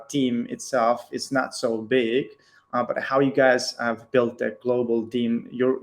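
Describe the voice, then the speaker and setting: A man moderates a live online Q&A, reading out questions. His delivery stays flat and even, broken by frequent ums and uhs. He comes through a video call. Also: mid-range voice. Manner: hesitant, many ums and uhs. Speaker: webinar host.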